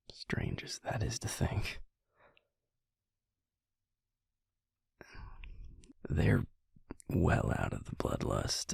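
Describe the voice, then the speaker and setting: A man playing a gentle, self-deprecating, protective vampire in an intimate audio roleplay, speaking in a gravelly, breathy voice with a halting, softly teasing delivery. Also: a bass voice, dry recording, studio quality, exaggerated voice. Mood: reflective.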